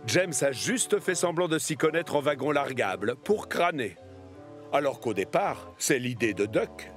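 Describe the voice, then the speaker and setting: A man's voice: mid-range voice, lively intonation, measured pace, faint music behind. A man narrating a children's train story in French from a script.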